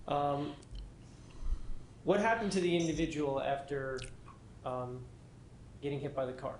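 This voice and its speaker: A man's voice: fairly high pitch, steady tone, unhurried, roomy sound, a little hiss or hum. A man questioning a witness in court as prosecutor, methodical, with short probing questions.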